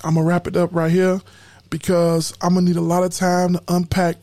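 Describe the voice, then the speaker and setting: A woman's voice: lowish voice, monotone, normal speed, warm tone. A woman hosting a podcast in casual, colloquial talk, emphatic and preachy, repeating words when fired up. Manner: colloquial slang. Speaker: podcast host.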